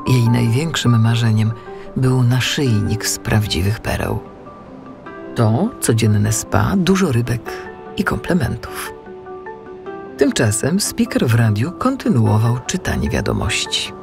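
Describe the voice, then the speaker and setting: A woman narrates a children's story in a deep, gravelly voice with plenty of creak. Her scripted storytelling moves expressively up and down, with music behind. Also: sing-song, a little slow.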